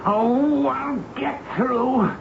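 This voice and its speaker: An actor playing a blustering, bullying fat boss in a radio drama, bossy and theatrical. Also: male voice, extremely high pitch, extremely slow, vocal fry, full character voice. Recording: muffled, echoey, very noisy old radio recording.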